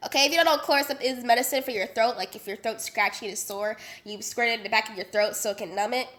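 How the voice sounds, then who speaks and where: Bright, nasal young woman with a cold. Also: fairly high pitch, fast talker. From Las Vegas. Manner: chatty, animated, full of slang and fillers. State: sick, congested and nasal. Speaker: vlogger. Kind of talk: casual storytime vlog.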